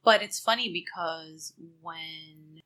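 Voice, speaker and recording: A woman from the United States co-hosting a podcast in casual chat, teasing and often dropping to soft asides. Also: low-pitched, slow pace. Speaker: podcast co-host.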